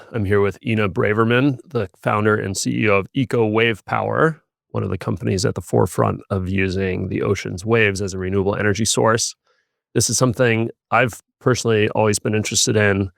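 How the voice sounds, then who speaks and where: A man hosting a podcast, reading an intro and asking interview questions in an even delivery. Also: fairly low pitch, a little fast, vocal fry, dry recording, clean studio sound. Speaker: podcast host.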